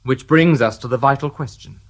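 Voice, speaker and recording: An actor playing a sharp, sardonic male detective inspector in a radio drama, questioning in a dry, mocking way. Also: mid-range voice, moderate pace, close to an everyday voice. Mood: probing.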